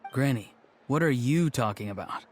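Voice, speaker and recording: A man's voice: medium pitch, lively intonation, normal speed, a little mellow, soft background music, lightly acted. A man playing a friendly, level-headed young man with a casual, teasing manner in a video game. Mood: puzzled. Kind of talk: video game cutscene voice acting.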